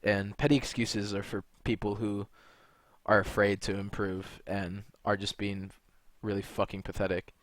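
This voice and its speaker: A man's voice: fairly low pitch, flat delivery, moderate pace, no room sound. A young man from California talking in a rambling, blunt monologue, full of fillers and restarts. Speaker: video creator and gamer. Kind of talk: unscripted monologue to camera.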